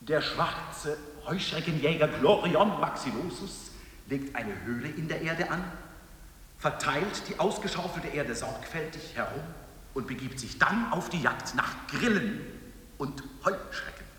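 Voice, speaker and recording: Man narrating like a nature documentary, measured, slightly high voice, echoey hall w hiss. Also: lively intonation, fairly bright tone. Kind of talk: scripted documentary-like narration in an experimental audio piece.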